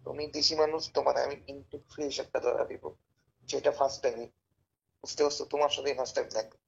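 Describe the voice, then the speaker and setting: A Bangladeshi man making an informal phone sales pitch in a pushy, persuasive, repetitive way. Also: steady tone, quick pace, gravelly, breathy edge, muffled recording. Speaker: caller pitching a service as a tout.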